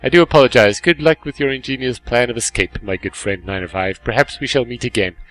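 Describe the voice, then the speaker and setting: A man voicing a polite, sensitive robot from a game in a courteous, formal, gently earnest manner. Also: medium pitch, quick pace, natural voice, poor audio. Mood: apologetic.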